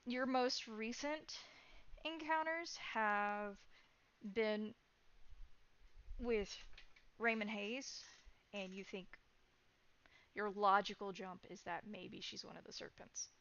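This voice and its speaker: A woman's voice: medium pitch, slow pace, long pauses, fairly bright tone, smooth. A woman acting as game master, narrating a tabletop horror roleplay and explaining things to players. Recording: dull, band-limited sound.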